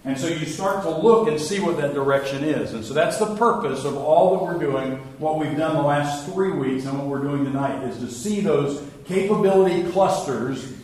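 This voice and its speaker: Slightly hoarse pastor, roomy church. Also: male voice, fairly high pitch, moderate pace, hardly any pauses, darkish tone. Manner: folksy, self-deprecating, many fillers. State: a little hoarse. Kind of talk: casual Wednesday night church talk. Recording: roomy echo.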